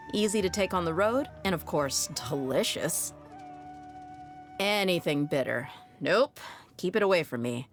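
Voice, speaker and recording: Young female game mercenary: low-pitched, blunt, loyal, offhand delivery, music underneath. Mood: frank. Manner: casual, frank, offhand. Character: tough, easygoing young desert mercenary woman, loyal and blunt. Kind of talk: video game character voice lines.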